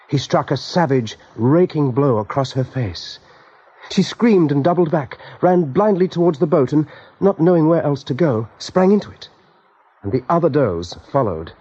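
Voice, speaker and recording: A man's voice: on the high side, mellow, slightly rough. A man narrating a scripted radio drama as storyteller. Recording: dull vintage radio recording.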